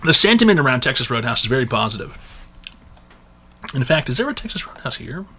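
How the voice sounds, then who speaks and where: A man hosting a live call-in advice stream, brash and crude, hoarse from losing his voice. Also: mid-range voice, big pitch swings, fast, sharp tone, a little hiss or hum. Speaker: host.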